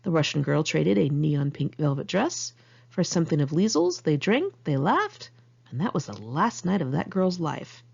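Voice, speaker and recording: A woman's voice: low-pitched, very animated, normal speed, gravelly, a little mellow, husky. A woman narrating her own memoir in a candid, conversational way, like an amateur audiobook read in one take. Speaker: author reading own memoir. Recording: muffled lo-fi amateur recording.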